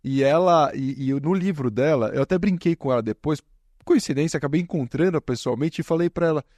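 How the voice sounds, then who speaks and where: Male podcast host, playful, warm tone, dry close recording. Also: fairly high pitch, fairly quick, no echo. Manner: playful, jokey asides. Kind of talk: podcast interview, casual chat.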